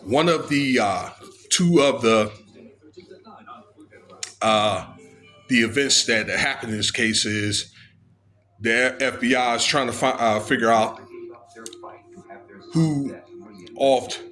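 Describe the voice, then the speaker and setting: An American man giving informal, unscripted commentary on legal news, halting as he goes and often checking in with the listener. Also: mid-range voice, a bit bright, soft background music. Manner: halting, with frequent checking tags. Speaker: video commentator.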